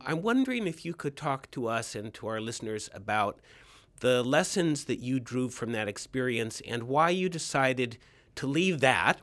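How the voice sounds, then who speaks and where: Male interviewer, mid-pitched, courteous. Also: a bit bright. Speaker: interviewer, host. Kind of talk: conversational interview questioning.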